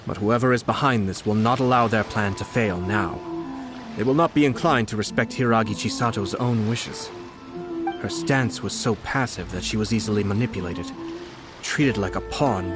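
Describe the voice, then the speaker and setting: Man as a composed, low-voiced young nobleman. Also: over loud music, close to an everyday voice. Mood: grave, reflective. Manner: measured, polite, formal. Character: composed, courtly young nobleman, shrewd and unflappable commissioner. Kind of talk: anime-style game voice acting.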